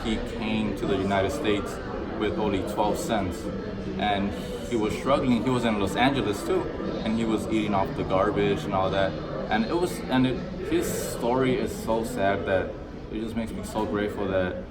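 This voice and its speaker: A man's voice: on the low side, fairly even intonation, normal speed, distant mic, heavy background noise. A man in his twenties being interviewed in a busy restaurant, talking earnestly with many fillers and restarts. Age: 20s. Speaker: interviewee, burger restaurant supervisor. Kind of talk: casual street interview. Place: busy burger restaurant, indoors. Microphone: phone held by interviewer.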